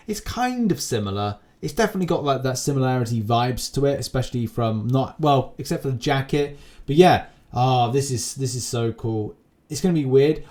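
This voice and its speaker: A man's voice: medium pitch, darkish tone. A man hosting a gaming channel, giving casual, unscripted news commentary in a rambling, repetitive, informal way.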